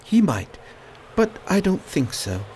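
An actor playing a grave male attendant at a deathbed who speaks halting, foreign-tinged English in a radio drama. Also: medium pitch, very animated, a little mellow, slight background noise, poor audio. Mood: somber.

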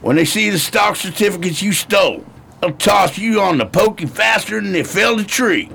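An actor playing a crooked, gloating 1920s card sharp, taunting and slangy, in a radio crime drama. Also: male voice, high voice, expressive, moderate pace, creaky, noisy background, full character voice. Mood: threatening.

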